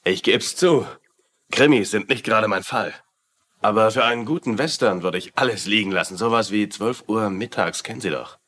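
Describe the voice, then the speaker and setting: A man's voice: lowish voice, normal speed, a bit bright. An actor playing a boastful, self-important small-town lawman who loves westerns, in a German-dubbed TV crime drama.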